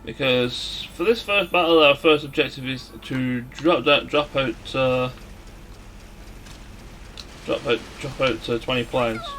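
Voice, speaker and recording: A man giving casual, somewhat halting video game commentary as a let's play host. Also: medium pitch, a bit bright, slight background noise. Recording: music behind the voice. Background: game music.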